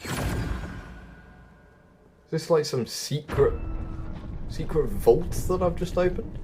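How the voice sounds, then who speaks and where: Casting: male gaming guide host, chatty and animated in pitch, thinking aloud, light music behind. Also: slow pace. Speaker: video game guide host. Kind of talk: casual gameplay walkthrough commentary.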